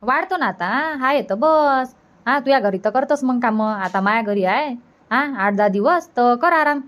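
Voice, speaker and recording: A woman's voice: on the high side, animated, unhurried, a bit bright. A woman voicing a caring, practical village mother in a cartoon comedy, chatty and advising, with a rural Vidarbha Marathi accent. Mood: gently scolding.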